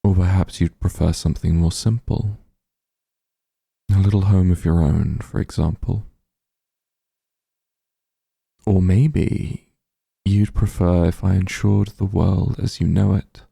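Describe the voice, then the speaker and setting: Doting demon lord, played by a man, tender comforting ASMR roleplay, heavy vocal fry, close dry sound. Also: steady tone, unhurried, dry recording, exaggerated voice. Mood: doting, devoted. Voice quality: low, gravelly, husky. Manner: soothing, tender, gently coaxing. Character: doting demon lord, shapeshifted into soft strong form.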